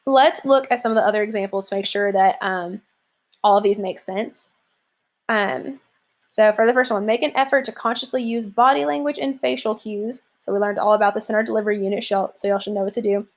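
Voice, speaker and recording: Casual young female teacher, relaxed filler-heavy delivery, mid-range voice, explaining an assignment. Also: medium pitch, fairly quick. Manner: casual, chatty, many "like" and "um" fillers. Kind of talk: classroom lesson walkthrough.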